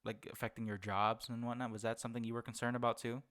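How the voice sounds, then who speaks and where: A man's voice: medium pitch, flat delivery, quick pace, a bit bright. A male podcast host asks his questions in a loose, casual way. He stumbles and restarts often, piling up fillers while he hunts for the right word. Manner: hesitant, many fillers and restarts, searching for words. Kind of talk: casual interview.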